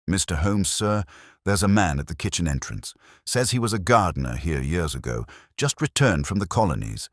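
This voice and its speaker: Man reading as deep-voiced, deferential young footman. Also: big pitch swings, moderate pace, no echo, studio quality. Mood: anxious. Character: breathless, deferential young male Victorian footman. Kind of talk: audiobook character dialogue.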